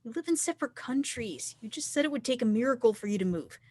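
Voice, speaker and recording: A woman's voice: fairly high pitch, lively intonation, fast, a little mellow, no echo. A woman playing a teasing, devoted young semi-pro snowboarder in a screenplay table read. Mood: vulnerable.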